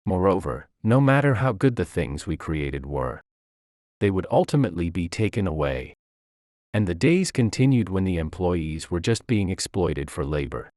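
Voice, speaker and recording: A man's voice: sits low, a little mellow, no room sound, clean studio sound. A man reading a scripted first-person story as its narrator.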